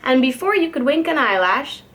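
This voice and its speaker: A woman's voice: high-pitched, very animated, moderate pace, a bit bright. A woman reading a children's picture book aloud like a preschool teacher, expressively and with care for young listeners.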